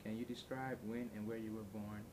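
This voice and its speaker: Flat-toned male interviewer, very noisy recording. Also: on the low side, fairly quick. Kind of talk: simple interview questions.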